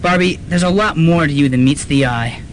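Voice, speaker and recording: A woman's voice: deep voice, brisk, natural voice. A woman playing an exasperated, protective young male student revolutionary in a radio comedy-drama. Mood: protective, wary. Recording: faintly noisy old radio recording.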